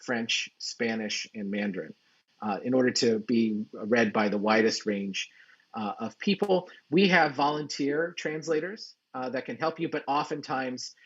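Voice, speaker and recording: A man presenting as a journal editor in an explanatory conference style, speaking haltingly with frequent restarts and repeated words. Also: mid-range voice, a bit bright, husky, dull audio.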